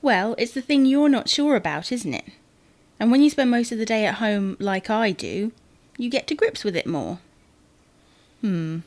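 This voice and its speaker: A woman's voice: a little high, expressive, moderate pace, a little mellow, no echo. A British woman playing an exasperated, matter-of-fact wife bickering over household matters in an audio sitcom. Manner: nagging, matter-of-fact, tag questions. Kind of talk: audio sitcom acting, domestic dialogue.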